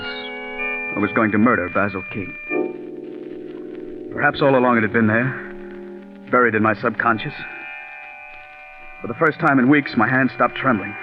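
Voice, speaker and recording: An actor playing a bitter, murder-minded scriptwriter in a 1940s radio drama, speaking intensely. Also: male voice, mid-range voice, natural voice. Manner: intense, brooding, stammers when agitated. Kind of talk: 1940s radio drama acting and first-person narration. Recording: tinny 1940s radio recording with music.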